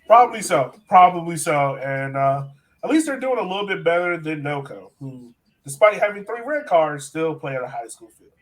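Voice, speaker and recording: A man's voice: fairly high pitch, big pitch swings, normal speed. An American man hosting a sports podcast in casual, chatty conversation. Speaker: podcast host.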